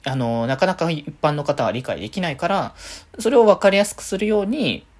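A man's voice: on the high side, animated, fairly quick. A man hosting a solo podcast, giving casual fan commentary and thinking aloud in loose, winding sentences.

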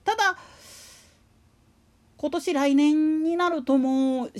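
A woman's voice: high voice, slow, a little mellow. A woman giving casual solo commentary as a podcast host, rambling and opinionated, with emphatic repetition.

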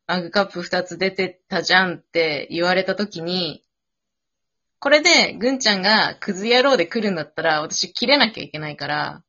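A woman's voice: fairly low pitch, animated, a little fast, pushed and bright, husky. A woman chatting casually after an improv drama, switching between polite and informal speech. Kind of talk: casual post-show chat. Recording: dull lo-fi audio.

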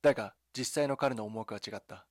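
A man delivering scripted dramatic documentary narration in a measured, solemn storytelling manner, with short deliberate phrases. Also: fairly low pitch, a little mellow, dry recording, clean studio sound. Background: background music.

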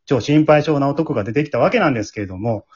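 A man's voice: medium pitch, expressive, fast, darkish tone, husky, dull audio. A man with a Kansai accent hosting a casual after-show talk, conversational and often seeking agreement from his listeners. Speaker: host and scriptwriter of an amateur radio drama group.

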